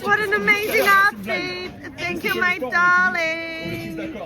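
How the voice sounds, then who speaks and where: Exuberant, very high-pitched woman amid loud crowd. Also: level tone, slow pace, over loud music. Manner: exuberant, gushing, drawn out. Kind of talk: casual outdoor chatter. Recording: loud crowd noise outdoors.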